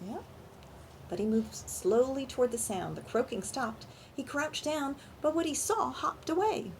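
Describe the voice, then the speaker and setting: A woman reading a picture book aloud to young children in an animated storytime style, pausing to ask questions and explain things simply. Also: high voice, creaky, a little hiss or hum. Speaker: children's librarian and storytime reader.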